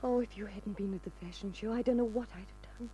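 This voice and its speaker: Woman playing a breathy, dramatic radio femme fatale. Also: medium pitch, fast talker, mellow, highs cut off, a little hiss or hum, lightly acted. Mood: frightened, pleading. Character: seductive, desperate glamorous female fashion model. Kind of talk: old-time radio crime drama acting.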